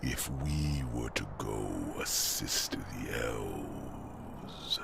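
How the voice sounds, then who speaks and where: A man voicing an ancient, wise male dragon who protectively mentors the listener, speaking in a slow, solemn whisper for a fantasy roleplay. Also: very deep voice, gravelly, over loud music, full character voice.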